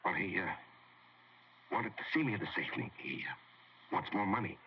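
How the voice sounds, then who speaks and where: An actor playing an anxious, guilt-ridden fiancé, stammering and hesitant in a radio drama. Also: male voice, sits low, moderate pace, a little hiss or hum. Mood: anxious, pleading. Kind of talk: old-time radio drama acting. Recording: muffled vintage radio recording.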